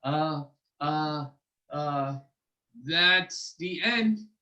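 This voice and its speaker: A man as a nervous, high-pitched, stammering storyteller. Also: sharp tone, exaggerated voice, rough recording. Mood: eager, halting. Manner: halting, stammering, obsequious. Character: nervous, eager, bumbling male storyteller pleading before royalty.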